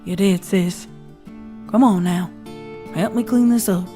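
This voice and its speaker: A woman voicing a gentle grown farm mare, a caring big sister, soft-spoken and encouraging, in a fanfic reading. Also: mid-range voice, unhurried, soft background music, close to an everyday voice. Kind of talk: fanfic reading with character voices.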